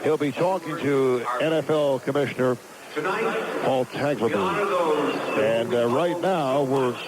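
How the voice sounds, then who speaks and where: A man's voice: medium pitch, slow, a loud crowd. A man anchoring live radio sports coverage, recorded off a noisy AM radio broadcast. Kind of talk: live radio game commentary.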